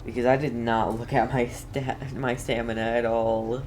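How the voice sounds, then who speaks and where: Very low-voiced woman streaming games. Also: level tone, moderate pace, darkish tone, slight background noise. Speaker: game streamer. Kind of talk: casual gameplay commentary.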